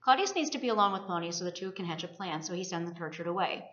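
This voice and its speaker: A woman's voice: fairly low pitch, expressive, quick pace, fairly bright tone. A woman, a college student presenting, reads aloud a scripted discussion of literature. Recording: roomy, muffled lo-fi recording.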